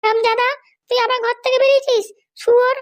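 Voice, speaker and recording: A woman's voice: very high-pitched, level tone, fast talker. A woman voicing a bossy, vengeful female politician and scolding wife in a comedy cartoon, haranguing and boastful. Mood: furious scolding.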